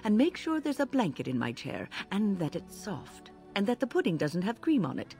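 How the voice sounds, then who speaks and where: An actress playing a tart, dryly grumbling old mage in a video game, with a wry manner. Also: female voice, mid-range voice, wildly swooping pitch, normal speed, darkish tone, soft background music. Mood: dryly sarcastic. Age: older. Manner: wry, sardonic.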